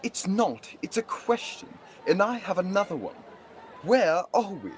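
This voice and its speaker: Casting: a student actor as a quippy talking book, cheeky temper, very animated, comic radio play, slightly noisy sound. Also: medium pitch, measured pace, a crowd in the background. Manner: cheeky, quippy, answers questions with questions. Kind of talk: student radio theatre acting.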